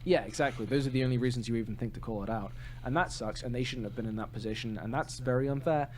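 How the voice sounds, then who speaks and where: A man's voice: mid-range voice, quick pace, a little hiss or hum. A man co-hosting a podcast in casual, opinionated chat, emphatic and prone to swearing. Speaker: podcast co-host.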